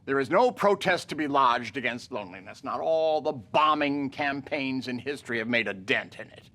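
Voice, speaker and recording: An anguished father in a dramatic literary reading. His mid-pitched voice is brooding and measured, falling at times to hushed, bitter phrases. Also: animated. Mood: bitter, lonely.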